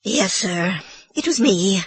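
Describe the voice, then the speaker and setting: Woman playing an old irish housekeeper, meek, apologetic, husky, lively pitch, storybook reading. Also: slow pace, no echo, full character voice. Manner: apologetic, deferential, sir-ing constantly.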